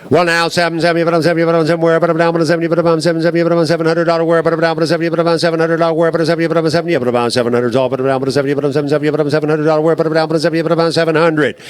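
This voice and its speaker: A male livestock auctioneer rattles off bids in a rolling, rhythmic chant, repeating numbers over and over. His voice sits a little high and comes through crisp and clean. He talks at a breakneck clip. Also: flat delivery, rapid-fire, few pauses.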